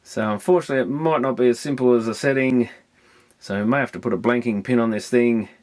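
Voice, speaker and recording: A man's voice: medium pitch, quick pace, a little mellow. A man with a mid-range voice presents hands-on electronics repair in a casual, unscripted way. He thinks aloud as he goes, rambling a little but staying matter-of-fact.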